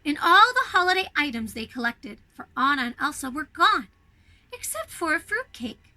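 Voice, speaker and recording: Really high bright lady voice, snow queen doing storytime for little kids, super expressive. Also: normal speed, low-quality recording. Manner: expressive, addresses young listeners directly with questions. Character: snow queen telling her own Christmas story. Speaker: costumed children's storyteller. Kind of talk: animated storybook reading for children.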